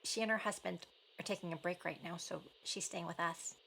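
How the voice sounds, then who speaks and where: A woman playing a guarded, conflicted young woman in a naturalistic radio play, with a halting manner and some creak in her voice. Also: fairly low pitch, steady tone, background noise. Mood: regretful, pleading. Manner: hesitant, halting, blunt when rattled.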